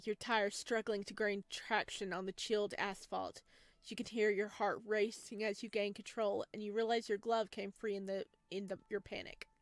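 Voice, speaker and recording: A woman reading the text of a horror visual novel aloud at an even pace, stopping for chatty asides and giggles. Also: medium pitch, dry recording. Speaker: game streamer.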